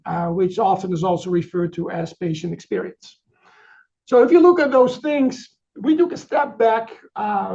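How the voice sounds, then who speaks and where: A middle-aged man from the Netherlands with a Dutch accent, giving a webinar presentation in a halting way, full of fillers and restarts. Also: high voice, gravelly, darkish tone, lo-fi sound. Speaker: chief marketing officer presenting.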